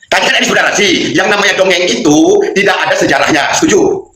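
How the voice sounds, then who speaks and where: A man's voice: high voice, lively intonation, fast, bright, forceful tone. A man joining an online debate as a guest, insistently repeating his short points. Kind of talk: heated interfaith debate. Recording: very echoey with crowd noise behind.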